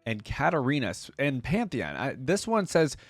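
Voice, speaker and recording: A man's voice: medium pitch, huge pitch swings, moderate pace, dry recording. A man hosting a reaction video, giving casual, rambling, conversational commentary to his viewers. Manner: conversational, rambling, peppered with "like" and "you know".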